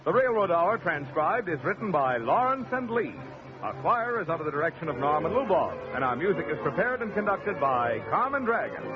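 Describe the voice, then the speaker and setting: A man announcing the closing credits of a radio show in a crisp, formal reading. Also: fairly high pitch, very animated, brisk, long pauses. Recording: dull lo-fi 1950s radio broadcast. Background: orchestral music.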